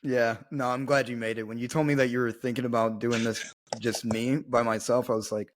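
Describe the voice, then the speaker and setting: Laid-back guy hosting a podcast over a video call, mid pitched, chill. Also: flat delivery, fairly quick. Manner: easygoing, laughs often. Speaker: podcast host. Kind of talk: casual podcast interview.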